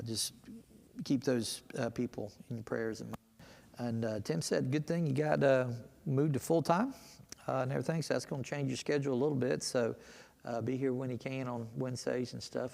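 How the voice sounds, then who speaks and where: A tired man, preaching a casual midweek Bible lesson and pausing often on "uh". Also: medium pitch, a little mellow. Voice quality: raspy. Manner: halting, many "uh" fillers. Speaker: pastor. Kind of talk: casual Bible teaching sermon. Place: church sanctuary. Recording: reverberant church hall.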